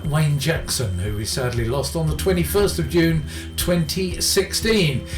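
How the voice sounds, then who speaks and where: A man presenting a music radio show in an informal, hesitant way, reeling off record details. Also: fairly high pitch. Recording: roomy sound with music behind.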